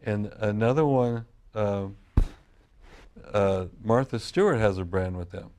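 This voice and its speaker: A man's voice: fairly low pitch, unhurried, a little mellow, smooth. A middle-aged man from California, a wine grape grower, telling long family stories in a casual interview with frequent restarts. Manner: rambling, restarts sentences often.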